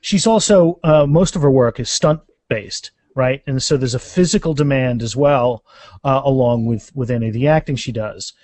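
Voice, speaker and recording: A man's voice: mid-range voice, moderate pace, darkish tone, no echo. A man speaking as an author and interview guest, telling anecdotes in a casual, rambling conversational way.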